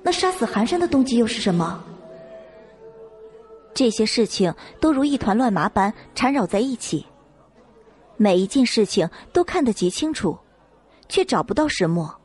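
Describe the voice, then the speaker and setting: A woman reading a dramatic novel as an audiobook narrator, in measured storytelling style. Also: a little high, very animated, darkish tone, slightly rough, soft background music.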